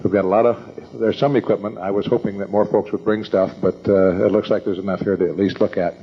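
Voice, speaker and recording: An older American man hosting an evening meeting, introducing speakers informally in a halting, rambling, folksy way. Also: on the low side, steady tone, husky. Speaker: event host introducing speakers. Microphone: event microphone. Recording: dull lo-fi event recording.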